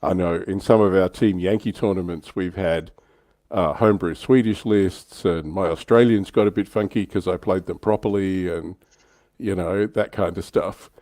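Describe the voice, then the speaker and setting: A man's voice: low-pitched, fairly even intonation, moderate pace, a little mellow, dry recording, clean studio sound. A man hosting a livestream, chatting casually and fielding questions from viewers.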